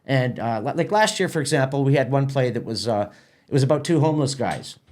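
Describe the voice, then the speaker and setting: Canadian guy chatting on a podcast, medium pitch, halting and rambly, keeps doubling back on words. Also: expressive, darkish tone. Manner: halting, rambling, repeats words while explaining. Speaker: festival organiser and stage manager, podcast guest. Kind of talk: casual interview chat.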